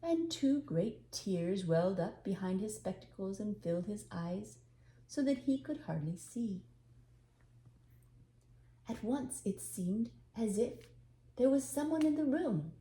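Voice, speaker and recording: An older woman reading a storybook aloud as an expressive narrator. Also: mid-range voice, slow pace. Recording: echoey room, faint background noise.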